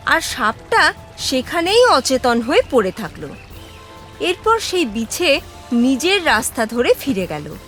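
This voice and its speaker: A woman tells a children's story in a high, lively voice. She takes it slowly and expressively, addressing young listeners, with music playing behind her. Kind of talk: scripted children's story narration.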